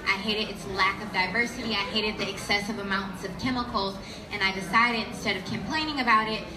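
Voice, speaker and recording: A woman's voice: medium pitch, fairly quick, a crowd in the background. A woman introducing her company to a live audience on a panel, confident and conversational. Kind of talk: public panel talk. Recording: echoey room with audience.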